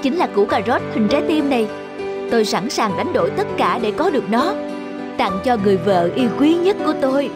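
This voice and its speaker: A simple-hearted rabbit husband from a cartoon fairy tale, played by a man. He tells things earnestly and tenderly, full of gentle devotion. Music is clearly heard behind him. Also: very high voice, big pitch swings, brisk. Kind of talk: animated fairy tale acting.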